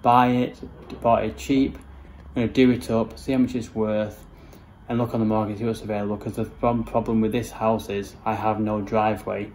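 Low, even-voiced man rambling casually. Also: moderate pace, low-quality recording. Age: 30s. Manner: rambling, matter-of-fact, informal. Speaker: home renovation vlogger. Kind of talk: casual walk-through vlog narration. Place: rooms of a house, indoors. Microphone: handheld camera or phone at arm's length. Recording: noticeable background noise, handheld camera indoors.

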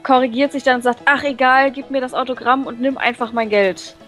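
A woman who is a native German speaker, reading visual novel text aloud and translating and commenting casually, with halting pauses to search for words. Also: sits high, fairly even intonation, a bit bright. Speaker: let's play co-host. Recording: music playing behind the voice.

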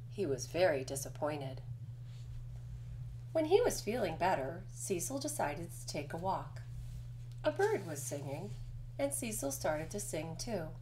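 A woman reading a children's picture book aloud as narration, with expressive storytelling delivery. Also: mid-range voice, slight background noise, poor audio. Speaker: storybook reader.

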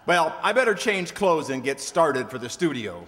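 A man's voice: fairly high pitch, very animated, normal speed, a bit bright. A man acting a breezy, wisecracking comic character in an old-time radio comedy read from a script. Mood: breezy, joking. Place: stage of a large hall. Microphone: stand microphone. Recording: echoey hall stage performance.

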